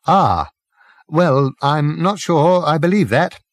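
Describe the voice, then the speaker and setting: A man voicing a bewildered, hesitant, mildly sceptical Englishman in an audiobook, with halting, puzzled delivery. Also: a little high, animated, dry recording. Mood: doubtful.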